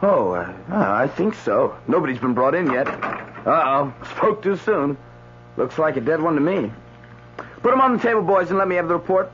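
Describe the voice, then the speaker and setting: An actor playing a flustered new doctor at a medical examiner's office in a radio drama, jittery and hesitant. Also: male voice, a little high, big pitch swings, moderate pace, fairly bright tone, slight background noise. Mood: nervous, eager. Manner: jittery, hesitant, repeats himself. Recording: muffled radio recording, faint music.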